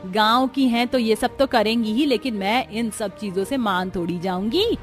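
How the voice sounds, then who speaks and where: A woman's voice: a little high, normal speed, soft background music, natural voice. A woman playing a bossy, disapproving middle-aged mother-in-law in a cartoon family drama, scolding with sarcasm and drama. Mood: scornful, aggrieved.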